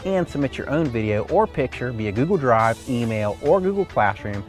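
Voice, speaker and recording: A man's voice: mid-range voice, very animated, moderate pace, soft background music. A man hosting a show, talking to viewers in a casual, friendly way like a presenter announcing prizes. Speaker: show host.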